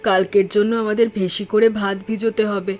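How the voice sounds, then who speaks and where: A woman voicing a practical, caring village mother in a cartoon story, with a measured manner. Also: medium pitch, flat delivery, darkish tone, soft background music, close to an everyday voice.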